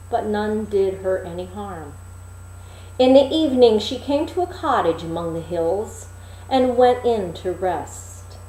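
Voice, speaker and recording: A woman's voice: medium pitch, mellow, slight background noise. A woman reading a fairy tale aloud expressively as a storyteller. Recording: echoey room.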